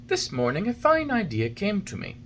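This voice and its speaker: A reader voicing a hot-tempered little old male woodcarver who talks in an eager, indignant way and flares up quickly. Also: wildly swooping pitch, slight background noise. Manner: shrill, indignant, quick to anger.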